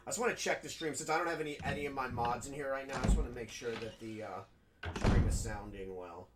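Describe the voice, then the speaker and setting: A man with a raspy, nasal New York accent, chatting casually as a game streamer while he plays. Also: mid-range voice, fast, background noise. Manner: rambling, chatty, full of fillers. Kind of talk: casual gameplay commentary.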